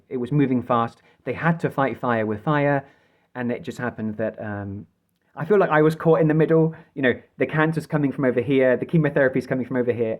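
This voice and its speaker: A man speaking reflectively in a personal video diary, with short halting phrases and a grateful manner. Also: mid-range voice, dull audio. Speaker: vlogger sharing a health update.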